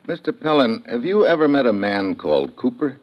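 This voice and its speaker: An actor playing a tough, laconic investigator in an old-time radio detective drama, speaking in a clipped, dry way. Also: male voice, medium pitch, big pitch swings, brisk, natural voice. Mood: probing. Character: tough, laconic male American investigator narrating his own case. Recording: muffled, lightly noisy classic radio recording.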